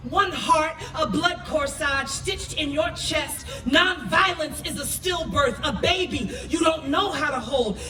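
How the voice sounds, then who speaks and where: A woman performing spoken-word poetry live, speaking emphatically and deliberately, with repeated phrases. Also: sits high. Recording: echoey, noisy live venue, distant mic.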